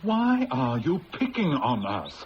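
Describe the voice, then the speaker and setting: A stammering, jittery crook. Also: male voice, a little high, huge pitch swings, measured pace, dull audio. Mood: blustering. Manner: stammering, defensive. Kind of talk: 1970s radio drama acting. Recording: hissy old radio broadcast.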